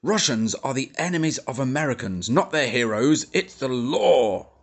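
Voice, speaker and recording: A man's voice: mid-range voice, big pitch swings, measured pace, fairly bright tone, pitched above the performer's own voice, full character voice. A man voicing a pompous, blustering middle-aged army major who sneers and splutters, in an audiobook. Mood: indignant. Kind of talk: audiobook character voice.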